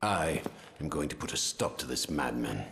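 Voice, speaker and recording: An actor playing a resolute, protective leader in a video game, speaking sternly and deliberately. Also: male voice, a bass voice, very animated, heavily acted. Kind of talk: dramatic video game acting. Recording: reverberant hall, clear background noise.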